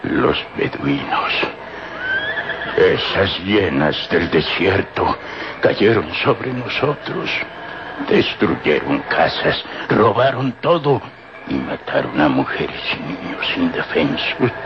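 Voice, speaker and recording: Frail starving old Egyptian servant, lowish voice, slow, pleading, repetitive, old radio drama. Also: male voice, big pitch swings, heavily acted. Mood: grief-stricken. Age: older. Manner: pleading, lamenting, repeating himself. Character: terrified, starving, half-crazed old Egyptian servant man. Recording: muffled, noisy old radio drama recording.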